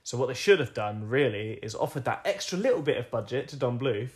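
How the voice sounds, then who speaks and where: A man with a croaky voice, co-hosting a casual film review podcast and rambling through anecdotes with frequent ums and laughs. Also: mid-range voice, expressive, a little fast. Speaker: podcast co-host.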